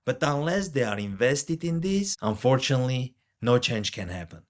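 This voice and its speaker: A man's voice: mid-range voice, sing-song, normal speed. A man who works as a financial advisor, a guest on a podcast, explaining things in an earnest, careful way. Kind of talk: conversational interview.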